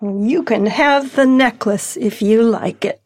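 An actress playing a polite, wistful elderly widow in an intimate audio drama, with a gentle, hesitant delivery. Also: female voice, a little high, slow pace, no echo, clean studio sound. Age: older.

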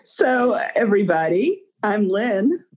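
A woman's voice: mid-range voice, very animated, takes it slowly, darkish tone, highs cut off. A woman co-hosting a casual podcast, speaking candidly and self-deprecatingly and laughing often. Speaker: podcast co-host. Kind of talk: casual chat.

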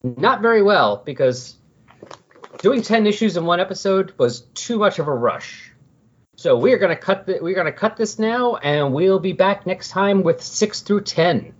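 Husky, higher-voiced man hosting a podcast. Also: expressive, normal speed, highs cut off. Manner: rambling, self-correcting. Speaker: podcast host. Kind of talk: casual podcast talk and show announcements.

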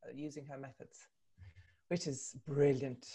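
Low-voiced woman, thoughtful. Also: fairly even intonation, measured pace, dark tone. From Sweden. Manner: thoughtful, searching for words as she goes. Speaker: actress and voice coach, interview guest. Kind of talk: casual remote interview.

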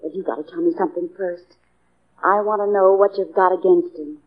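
A woman's voice: fairly low pitch, steady tone, brisk, lightly acted. A woman acting a plucky, persuasive young hostage in a 1940s radio drama, speaking in an earnest, direct way. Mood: bargaining. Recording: muffled, narrow old radio broadcast.

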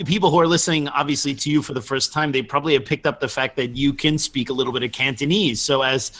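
A man's voice: medium pitch, steady tone, fast, fairly bright tone. A man hosting a casual interview, asking friendly, rambling questions with fillers. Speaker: podcast host.

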